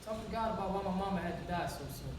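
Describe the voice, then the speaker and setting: An actress playing a wounded, frank, streetwise homeless young woman in a plainspoken, profane stage monologue with dramatic pauses. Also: medium pitch, natural voice. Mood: troubled. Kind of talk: theatrical monologue in a one-act stage play. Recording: echoey hall, far-off mic, background noise.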